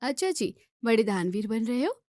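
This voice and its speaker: A woman plays an anxious young Indian daughter-in-law in a family storybook drama. She complains emphatically in a raised pitch. The recording is dry and close. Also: sing-song, normal speed, darkish tone, dry recording. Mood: fretful, teasing. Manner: fretful, emphatic. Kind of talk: acted Hindi family story dialogue.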